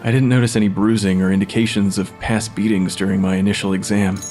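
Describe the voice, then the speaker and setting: An actor playing a calm forensic pathologist in an audio drama, with a measured, matter-of-fact clinical manner. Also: male voice, on the low side, faint music behind, lightly acted. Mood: defensive, calm.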